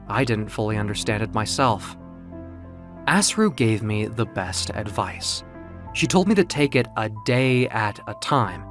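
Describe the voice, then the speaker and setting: Animated, theatrical male story narrator. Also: medium pitch. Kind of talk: dramatic first-person scripted story narration.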